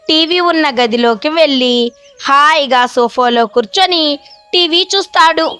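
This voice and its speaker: A woman narrates a scripted moral tale for children. Her voice is high, and soft music sits faintly behind it. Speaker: story narrator.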